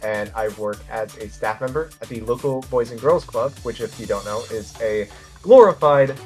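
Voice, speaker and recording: A man's voice: medium pitch, moderate pace, darkish tone, faint music behind. A twenty-one-year-old man talking casually about his game strategy, tired and hesitant, stumbling over his words. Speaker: player in a online game contest.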